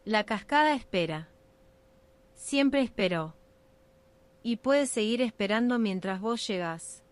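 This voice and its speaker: A woman narrating a scripted ASMR sleep meditation in a soothing, unhurried, hypnotic way. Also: fairly high pitch, animated.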